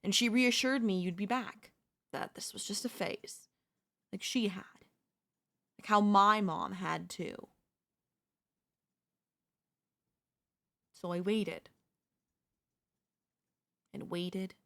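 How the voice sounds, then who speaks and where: A woman's voice: mid-range voice, slow pace, gravelly, long pauses, a bit bright. A young woman playing an obsessive, lovesick yandere neighbor in an intimate, intense audio roleplay. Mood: wounded, pleading. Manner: intimate, pleading, emotionally intense delivery. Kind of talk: ASMR audio roleplay acting.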